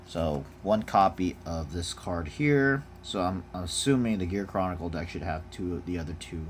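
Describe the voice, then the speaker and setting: Noticeable background noise surrounds a low-voiced man making a casual unboxing video. He speaks in a laid-back, off-the-cuff way, like a hobbyist looking over cards and reading them out. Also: expressive, moderate pace. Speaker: card game unboxing vlogger. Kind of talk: casual off-the-cuff card review.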